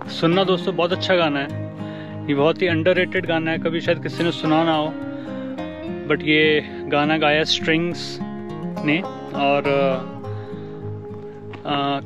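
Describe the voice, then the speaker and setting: A man's voice: on the high side, highs cut off. A man in his thirties from India, casually narrating a hike vlog outdoors on a forest trail, chatting with viewers like friends through an action camera. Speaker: hiking vlogger. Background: guitar music.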